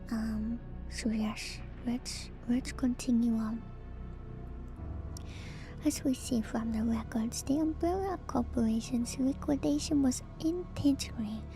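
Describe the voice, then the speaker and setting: A woman whispering in an ASMR style as a streamer, reading aloud and musing on it in a hushed, hesitant way. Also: fairly high pitch. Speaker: streamer reading and discussing game lore. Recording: music behind the whispering voice. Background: music.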